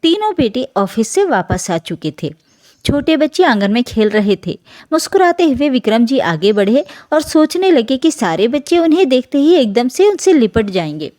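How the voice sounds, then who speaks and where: Female narrator for emotional family tales: higher-pitched, very animated intonation, soft mellow tone, scripted and dramatic. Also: normal speed, dry recording. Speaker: story narrator.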